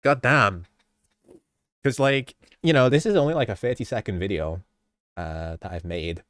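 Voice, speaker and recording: A man's voice: lowish voice, moderate pace, fairly bright tone, dry recording, clean studio sound. A man with a stuffy, slightly sick voice, rambling through a casual behind-the-scenes talk about his video edits, often stopping himself mid-sentence and chuckling.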